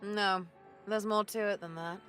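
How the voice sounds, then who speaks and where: A woman's voice: mid-range voice, fairly even intonation, unhurried, a bit bright, soft background music, natural voice. A woman playing a stern, sardonic female pirate captain in an audio drama, commanding and dryly sarcastic. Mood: firm, weary.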